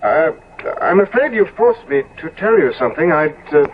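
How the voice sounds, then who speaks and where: An actor playing a dissolute young London gentleman in a 1970s radio drama, evasive, clipped and haughty. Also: fairly high pitch, sing-song, normal speed, narrow, tinny audio. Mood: evasive, uneasy. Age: young adult. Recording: thin, hissy old radio recording.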